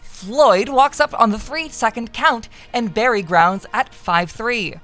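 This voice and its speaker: A woman's voice: on the high side, takes it slowly, a bit bright. A woman playing a young baseball fan in a visual novel, calling plays in an emphatic, clipped, projected delivery. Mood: animated announcing. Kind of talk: play-by-play commentary in visual novel dialogue.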